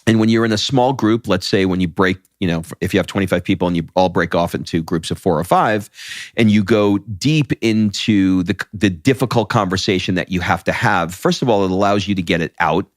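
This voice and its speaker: Deep-voiced man telling stories animatedly. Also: brisk, no room sound, studio quality. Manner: animated storyteller, frequent restarts. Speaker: podcast co-host. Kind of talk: casual podcast chat.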